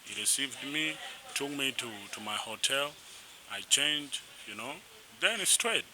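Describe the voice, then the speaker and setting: Animated South Sudanese man telling stories. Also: mid-range voice, unhurried. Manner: animated, rambling, frequent restarts. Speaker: musician guest. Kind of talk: casual interview storytelling. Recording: noisy background.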